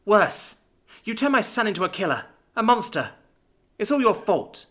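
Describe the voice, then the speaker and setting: A man voicing a grieving mother's wailing spirit in a storybook reading, shrieking in a strained falsetto. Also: sing-song, normal speed, a bit bright, full character voice. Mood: wailing rage.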